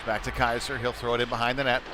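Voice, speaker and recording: A man giving conversational live play-by-play commentary of a youth hockey game, ready to break into excited calls. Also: medium pitch, fast talker. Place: indoor ice rink during a game. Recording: clearly noisy sports rink behind voice.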